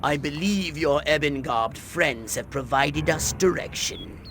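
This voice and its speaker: A man's voice: on the high side, a little slow. A man voicing a scheming, haughty alien commander in a video game, with pompous, elaborate diction and theatrical delivery. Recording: music behind the voice.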